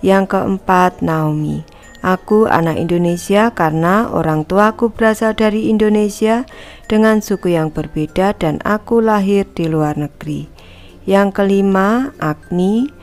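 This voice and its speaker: A woman's voice: medium pitch, measured pace, darkish tone, soft background music. A woman teacher who reads a textbook lesson aloud to schoolchildren and explains each part patiently, speaking to the class directly. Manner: calls herself Ibu and addresses the class as anak-anak.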